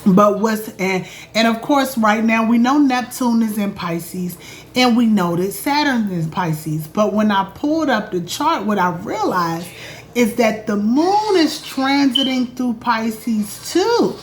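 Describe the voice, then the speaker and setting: A woman talking casually to her viewers about astrology from her home, chatty and animated, calling them y'all. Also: on the high side, slow, slight background noise. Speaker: astrologer and vlog host. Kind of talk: casual spiritual vlog talk.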